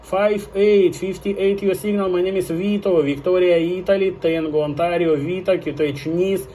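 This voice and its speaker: Male amateur radio operator, high-pitched voice, repetitive procedural delivery, noisy recording with clicks. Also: steady tone, dark tone. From France. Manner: repeats words and call signs deliberately. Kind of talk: ham radio contact exchange with phonetic spelling.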